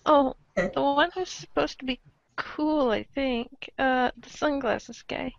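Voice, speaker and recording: A woman voicing an awkward, easily flustered alien troll teen in an amateur comic script reading, halting and stammering. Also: high-pitched, vocal fry, no room sound, muffled recording, heavily acted. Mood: hesitant. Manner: halting, stammering, full of ums.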